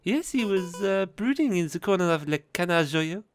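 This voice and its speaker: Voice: mid-range voice, expressive, normal speed, voice pushed up, lightly acted. A game player voicing a well-bred young female bird, airy and a little haughty, with faint music behind. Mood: gossipy.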